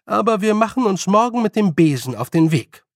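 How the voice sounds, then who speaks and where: Audiobook role: bossy, good-humoured old witch woman played by a man, high voice, animated, stern and teasing. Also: a little fast, no room sound, studio quality. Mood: practical. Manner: loud, stern, teasing, colloquial scolding. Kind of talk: audiobook character voice.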